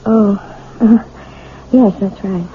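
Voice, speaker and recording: Actress as a gentle, flustered piano teacher, tinny. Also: female voice, medium pitch, slow, close to an everyday voice. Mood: flustered, defensive. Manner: gently chiding, flustered, hesitant. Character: gentle, evasive married piano teacher hiding her fear. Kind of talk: radio drama acting. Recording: phone-like, hissy old radio recording.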